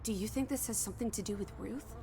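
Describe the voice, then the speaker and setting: An actress voices a bold young woman in a story game. Her voice is fairly high, her manner teasing, blunt and morbidly curious, with playful old-fashioned turns. There is some background noise. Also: fast, lightly acted. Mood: speculating, indignant. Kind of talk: video game voice acting.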